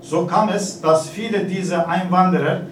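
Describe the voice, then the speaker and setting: A man in his forties from Turkey with a Turkish accent, giving a formal speech with halting hesitations and fillers. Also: high voice, fairly even intonation. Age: 40s. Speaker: consulate representative giving a speech. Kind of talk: formal speech at an exhibition opening. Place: event hall. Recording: echoey hall, distant, noisy.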